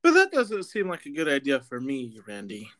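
A man in his late twenties chatting on a podcast with a mid-pitched voice. He is relaxed and self-mocking, and he laughs easily at his co-host's jokes. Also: moderate pace. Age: 20s. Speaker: podcast co-host. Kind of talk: casual banter podcast.

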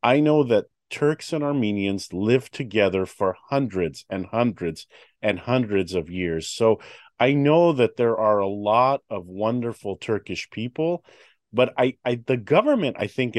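A man's voice: on the low side, lively intonation, unhurried, no room sound, studio quality. A man hosting a public affairs talk show, chatty with many fillers and restarts. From United States. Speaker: host.

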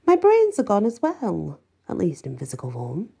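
A woman reading an audiobook, voicing a smug, unbalanced ancient male mummy with slow theatrical delivery. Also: mid-range voice, wildly swooping pitch, vocal fry, mellow, breathy edge, voice pushed up, exaggerated voice. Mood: smug, boastful. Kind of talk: audiobook character voice.

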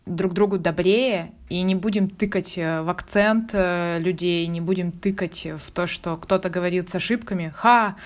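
A woman co-hosting a podcast, adding her own points in a lively, encouraging chat. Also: lowish voice, normal speed, hardly any pauses. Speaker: podcast co-host.

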